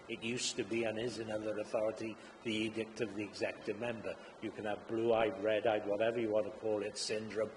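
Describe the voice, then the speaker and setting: Councillor in an echoing chamber. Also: male voice, fairly low pitch. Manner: hesitant with frequent uhs, earnest. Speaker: councillor, executive member for education. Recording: long chamber reverb, faint hum.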